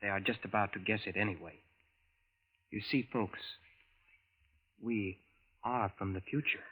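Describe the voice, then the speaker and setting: A man's voice: fairly low pitch, fairly even intonation, unhurried, breathy edge. An actor playing a polite, secretive male visitor from the future, speaking carefully and formally in 1940s radio style. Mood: solemn confiding. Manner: careful, formal, precise, few contractions. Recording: narrow, muffled vintage radio recording.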